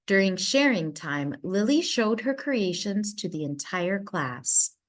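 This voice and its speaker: A woman reading a children's story aloud, narrating expressively with deliberate, dramatic emphasis. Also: medium pitch, big pitch swings, a little hiss or hum.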